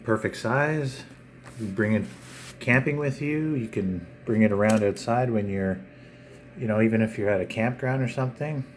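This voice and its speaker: A man hosting a casual, unscripted unboxing vlog, talking in a halting way with frequent pauses. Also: fairly low pitch. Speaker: video channel host. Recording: clear background noise.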